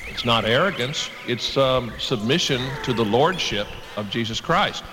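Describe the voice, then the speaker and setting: A man answering in a debate in a measured, firm way, with hesitant pauses. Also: mid-range voice, lively intonation, background noise. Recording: long reverb, prominent music mixed in. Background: music.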